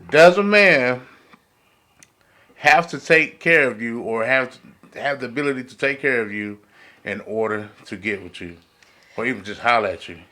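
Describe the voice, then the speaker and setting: An American man speaks as a podcast panelist. His medium-pitched voice has a tense, bright edge. His delivery is informal and slangy, circling back over phrases as he makes a point. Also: animated, normal speed, pauses often. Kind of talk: casual podcast discussion.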